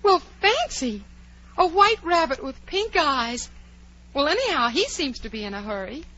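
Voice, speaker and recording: A woman's voice: high-pitched, big pitch swings, unhurried, background noise. An actress playing a curious, dreamy, chatty little girl who wonders aloud in a 1940s radio drama. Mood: curious, excited. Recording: muffled old 1940s radio recording.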